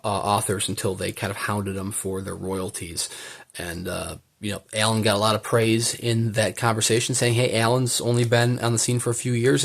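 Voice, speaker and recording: A man's voice: on the low side, gravelly. A man giving a casual unboxing commentary, enthusiastic and rambling as he thinks aloud. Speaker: book collector presenting an unboxing.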